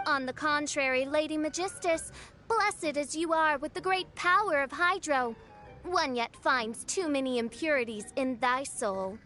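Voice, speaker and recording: A woman's voice: sits high, measured pace, sharp tone, soft background music. A woman voicing a grandiose teenage self-styled princess in an anime game, declaiming in pompous archaic phrases. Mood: lofty. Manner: pompous, archaic theatrical speech. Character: grandiose teenage girl playing a gothic princess.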